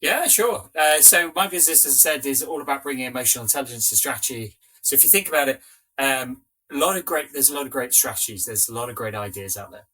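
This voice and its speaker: Bright-voiced man, relaxed podcast guest. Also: medium pitch, fast talker, breathy edge. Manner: relaxed, chatty, frequent fillers. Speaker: podcast guest, business owner. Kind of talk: casual interview chat.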